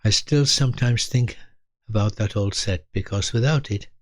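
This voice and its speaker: An elderly man in his eighties narrates a reflective, scripted memoir in measured, deliberate short phrases. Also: lowish voice, no room sound. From Britain. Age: 80s. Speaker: storyteller recalling his youth.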